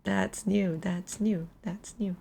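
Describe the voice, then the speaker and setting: A woman's voice: mid-range voice, fairly even intonation, slow pace, creaky, dark tone, breathy edge. A woman livestreaming a game, musing aloud and reacting with exasperation in casual commentary.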